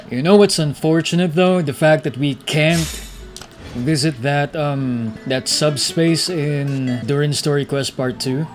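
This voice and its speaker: A young man giving casual gameplay commentary, musing aloud. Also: on the high side. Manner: musing aloud, reading out dialogue. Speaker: game streamer.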